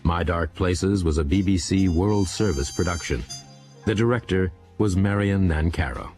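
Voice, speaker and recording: Low-voiced male radio announcer. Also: moderate pace, music in the background. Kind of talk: scripted broadcast credits.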